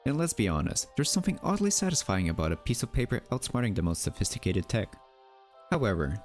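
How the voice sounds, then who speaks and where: A man's voice: fairly low pitch, darkish tone. A man delivering scripted documentary narration in a wry, theatrical manner, with rhetorical asides. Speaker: narrator of a mystery documentary channel.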